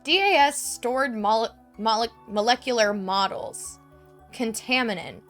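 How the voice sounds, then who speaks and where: A woman talks through her game session from home into a desk mic, leaning heavily on "um" and "like" as she thinks aloud. Her voice sits a little high for a woman. Quiet game music plays faintly in the background. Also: measured pace. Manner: chatty, full of "um" and "like". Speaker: let's play gamer. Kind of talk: casual gameplay commentary. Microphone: desk microphone.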